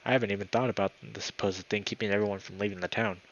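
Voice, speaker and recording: Male game streamer, lower-pitched, reads a visual novel and comments as he goes, tripping and restarting. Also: steady tone, racing. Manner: stumbles over read lines and self-corrects. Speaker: gaming video host.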